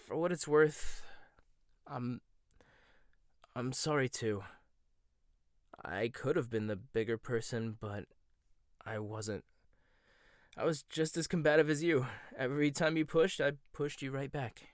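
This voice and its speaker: A young man voicing a proud, sharp-tongued male chef in a roleplay audio, speaking close to the mic. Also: mid-range voice, measured pace, pauses often, dry recording, natural voice. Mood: soft, sincere. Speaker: voice actor. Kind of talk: boyfriend ASMR roleplay.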